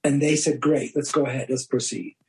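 A man's voice: mid-range voice, steady tone, brisk. An older man, a director being interviewed, explaining past events in a conversational style. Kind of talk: explanatory anecdotal chat.

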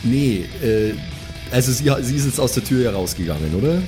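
A man's voice: medium pitch, lively intonation, a little fast. A man playing a tabletop game, thinking aloud in a hesitant, slangy, casual way. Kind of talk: casual improvised tabletop play. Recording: music behind the voice.

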